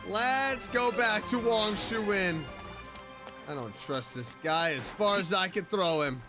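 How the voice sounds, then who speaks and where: A woman's voice: medium pitch, lively intonation, slow. A woman streaming a video game, giving casual reaction commentary as she plays. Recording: game music behind the voice. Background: game music.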